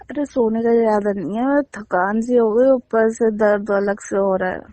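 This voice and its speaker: A woman's voice: on the high side, normal speed, warm tone, no room sound. A woman chatting casually and intimately on a phone call, in a teasing, mildly complaining manner. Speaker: romantic partner on a phone call. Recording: dull lo-fi phone call audio.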